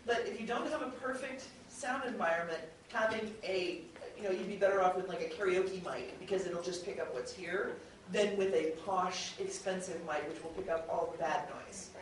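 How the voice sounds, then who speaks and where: A woman's voice: lowish voice, moderate pace. A woman talks informally as a panelist, sharing practical advice in a conversational way. Her voice is picked up from a distance in a very echoey room, with faint background noise.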